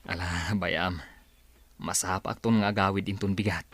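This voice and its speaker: Coaxing evasive husband, bright forceful voice, Ilocano accent, dry close sound, radio drama. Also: male voice, sits low, steady tone, moderate pace, dry recording. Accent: Filipino, Ilocano. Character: pleading, evasive husband who goes out drinking.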